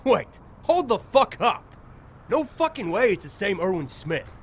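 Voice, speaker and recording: A man's voice: high-pitched, sing-song, measured pace, exaggerated voice. A man playing a hot-headed young survivor in an audio drama, snarky and sarcastic. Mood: incredulous. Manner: snarky, emphatic. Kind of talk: amateur audio drama acting. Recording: faint background noise.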